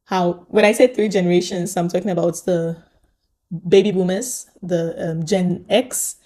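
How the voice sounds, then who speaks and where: A millennial woman hosting a conversational video commentary, speaking haltingly and putting questions to viewers. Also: lowish voice, steady tone, dark tone. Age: young adult to middle-aged, millennial. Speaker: host.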